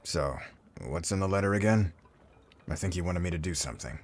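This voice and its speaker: A man playing a frail, forgetful old former sailor in an audio drama, hesitant, apologetic and wistful. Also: deep voice. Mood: confused. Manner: hesitant, halting. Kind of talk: cinematic audio roleplay acting.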